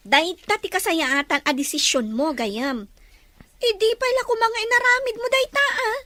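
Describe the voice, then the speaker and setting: A woman's voice: very high-pitched, sing-song, a little slow, no room sound, full character voice. A woman playing a frank young female friend who teases and consoles, with an Ilocano accent in a radio drama. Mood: curious, chiding. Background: loud music.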